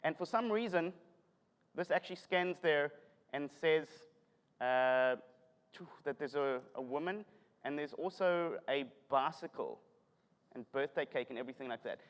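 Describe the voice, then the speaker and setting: A man's voice: fairly high pitch, animated, a little slow. A middle-aged man with a South African accent giving a self-deprecating, joking conference talk. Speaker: conference speaker. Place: conference hall. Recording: long hall reverb.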